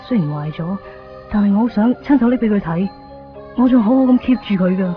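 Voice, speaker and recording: A woman's voice: medium pitch, expressive, a little fast, natural voice. Wistful young Hong Kong Cantonese woman, played by an actress, phone-thin 1990s radio drama sound, music underneath. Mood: wistful, yearning. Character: wistful young woman longing for a lost love. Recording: muffled 1990s radio recording, music behind.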